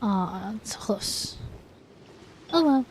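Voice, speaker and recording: A woman's voice: mid-range voice, animated, slow pace, darkish tone, slight background noise. A woman livestreaming a video game, chatting casually in an easily amused, giggly manner. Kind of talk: casual livestream gameplay chat.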